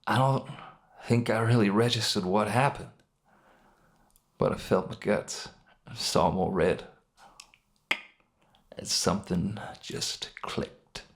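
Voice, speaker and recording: A man playing a wounded, guilt-ridden criminal in a narrated story, halting and pained, with a gravelly, emotion-strained voice. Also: on the low side. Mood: pained, haunted. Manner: halting, rueful, with sighs and bitter weak laughs. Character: wounded, guilt-ridden criminal mourning his dead brother. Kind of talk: dramatic monologue in a narrated story.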